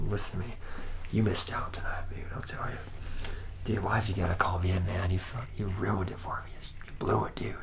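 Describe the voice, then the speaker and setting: Casting: male actor as charming barfly robot mechanic, low gravelly voice, slangy rambler, intimate ASMR roleplay with noticeable noise. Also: lightly acted. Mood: excited, griping. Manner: rambling, slangy, buddy-buddy, slightly self-deprecating. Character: charismatic, boozy, slacker male robot mechanic, a womanizer. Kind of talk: improvised ASMR roleplay, addressing the listener as a trainee.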